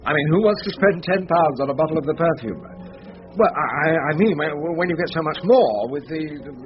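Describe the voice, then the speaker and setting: An actor playing a pompous, bumbling middle-aged barrister with an upper-class English accent and a hesitant, stammering manner. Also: male voice, on the high side, lively intonation, normal speed, background noise, natural voice. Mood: flirtatious, awkward. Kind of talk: comic drama acting. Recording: dull recording, music behind.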